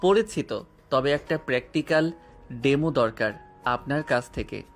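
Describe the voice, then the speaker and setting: A man's voice: mid-range voice, animated, moderate pace, faint music behind, lightly acted. A man voicing a bold, playful young man student who keeps courting an older classmate. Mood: teasing. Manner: teasing, earnest, persistent. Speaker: voice actor. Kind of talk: audio drama acting.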